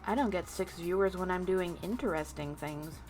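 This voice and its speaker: A woman's voice: lowish voice, normal speed, darkish tone. A woman livestreamer chatting casually to viewers in a rambling, self-deprecating way, with music behind her. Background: background music.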